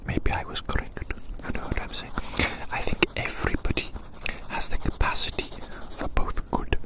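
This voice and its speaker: An ASMR performer whispering a slow, rambling roleplay outdoors, repeating phrases and addressing the listener as a little friend.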